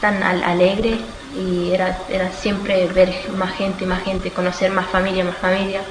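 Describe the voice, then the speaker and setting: An eighteen-year-old woman from Australia answers interview questions informally, haltingly searching for words and correcting herself. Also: on the low side, little pitch movement. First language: Spanish. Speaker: student being interviewed. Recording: echoey room with clear background noise.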